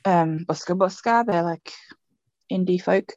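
A woman's voice: on the low side, unhurried, a little mellow, dry recording, highs cut off. A woman co-hosting a podcast in casual chat, rambling and chatty, laughing often. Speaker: podcast co-host.

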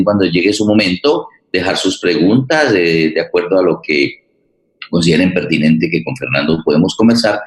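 Male radio host, Colombian accent, low register, halting conversational delivery, echoey room. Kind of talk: conversational interview hosting.

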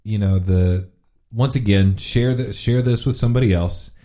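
Podcast co-host, man in his thirties, deep and dark-toned, loose and filler-heavy. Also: moderate pace. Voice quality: very low voice. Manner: laid-back, rambling with many "like" fillers. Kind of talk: casual chat.

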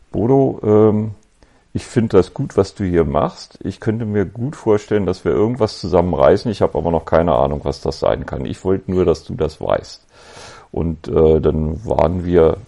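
A man in his fifties from Berlin with a Berlin accent, telling his story as a podcast guest in an easygoing, reflective way with frequent pauses. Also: low-pitched, vocal fry. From West Berlin, Germany. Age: 50s. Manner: relaxed, reflective, with many ums and pauses. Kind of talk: casual conversational storytelling.

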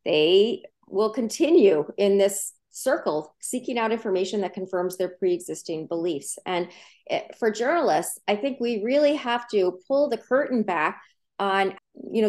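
A woman's voice: mid-range voice, expressive, moderate pace, a little mellow. A woman, a journalism professor, answering interview questions in an earnest, explanatory way and repeating points for emphasis.

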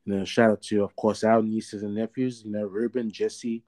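A man in his thirties talks as an interview guest, his voice dark and mellow and set somewhat low. He rambles, leaning on fillers and restarting his sentences. His delivery stays casual and conversational. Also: level tone, moderate pace. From Australia. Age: 30s.

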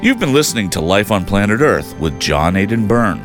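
A man reading a scripted outro announcement for a show in a lively way. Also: on the low side, normal speed. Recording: announcer voice over music.

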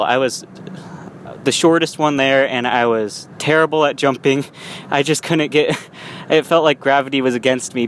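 A man's voice: medium pitch, a bit bright. Young man, casual vlog from behind the wheel, rambling, light traffic hum. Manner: rambling with frequent restarts and small laughs. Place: in a car while driving. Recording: faint road noise.

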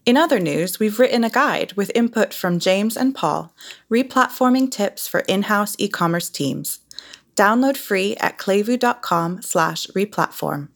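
A female announcer with a medium-pitched voice. She delivers a scripted promotional read for a podcast. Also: animated, measured pace.

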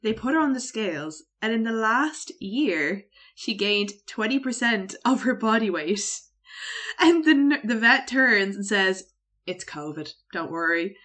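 A young Irish woman on a podcast, telling a story in a relaxed, measured way during casual chat. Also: mid-range voice. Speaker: podcast co-host.

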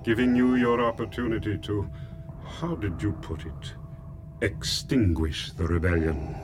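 An actor playing a grim, controlled dark Imperial lord, speaking formally and ominously in a space-opera radio drama. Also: male voice, lowish voice, fairly even intonation, measured pace, lightly acted. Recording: radio drama over clear background noise.

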